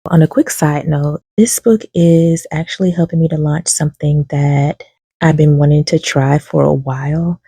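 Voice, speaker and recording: Calm, low-key woman with a gravelly voice. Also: moderate pace, dry recording. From lives in Kentucky. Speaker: book reviewer. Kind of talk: casual recommendation talk to camera.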